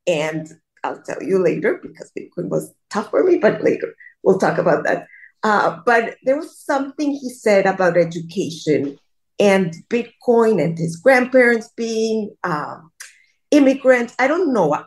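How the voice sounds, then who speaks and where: A woman's voice: medium pitch, big pitch swings, measured pace, a little mellow. A middle-aged woman from Colombia, telling her story in a casual interview and rambling with many restarts and self-deprecating asides, over a video call. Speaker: guest.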